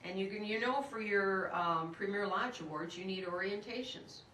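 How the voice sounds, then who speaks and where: Woman running a meeting, bright, chatty; roomy hall, distant mic. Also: medium pitch, normal speed, a little hiss or hum. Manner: chatty, calls on people by name. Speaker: meeting leader. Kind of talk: casual meeting talk.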